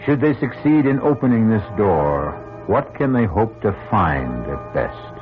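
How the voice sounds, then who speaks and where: An actor as a solemn radio mystery narrator, delivering grave, theatrical, rhetorical narration. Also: male voice, fairly low pitch, big pitch swings, a little slow. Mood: ominous, grave. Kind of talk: dramatic scripted radio narration. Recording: dull old radio, music underneath. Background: dramatic music.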